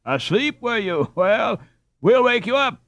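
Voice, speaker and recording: A man voicing eager, mocking young Dutch boys in a storybook, with an excited, exclamatory manner. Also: very high voice, a little slow, gravelly, dry recording, pitched above the performer's own voice, exaggerated voice.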